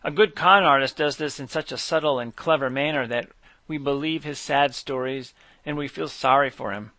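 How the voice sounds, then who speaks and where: A man reading a prepared monologue in a measured, even delivery. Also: medium pitch, dry recording. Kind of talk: scripted monologue read aloud.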